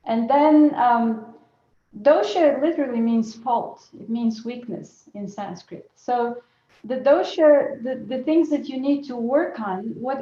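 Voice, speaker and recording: A woman's voice: fairly high pitch, dark tone. Woman teacher on a roomy muffled call. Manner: explains patiently, restarts phrases, light laughs. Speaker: Ayurvedic cooking teacher. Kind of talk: teaching webinar over video call. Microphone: video call.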